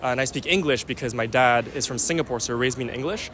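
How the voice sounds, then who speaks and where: A man's voice: medium pitch, fast talker, fairly bright tone, a little hiss or hum. A young man from France answering a street interviewer in an articulate, thoughtful way.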